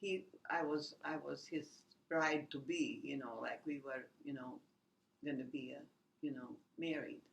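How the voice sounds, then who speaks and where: A woman, recalling her life in a halting oral history interview, full of restarts and fillers. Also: sits low, steady tone, creaky, lo-fi sound. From Latvia. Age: 80s. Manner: halting, many restarts and "you know" fillers. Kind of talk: rambling personal recollection.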